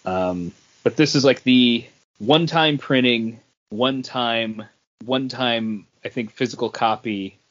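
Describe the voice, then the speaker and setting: A man's voice: medium pitch, unhurried, a bit bright. A man chatting casually about comics as a podcast co-host. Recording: dull lo-fi podcast audio.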